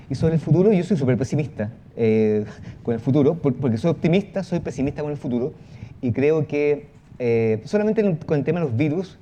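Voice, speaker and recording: Mellow man over background noise. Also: fairly high pitch, muffled recording. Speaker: panel guest. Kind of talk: spontaneous panel talk. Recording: clear background ambient noise.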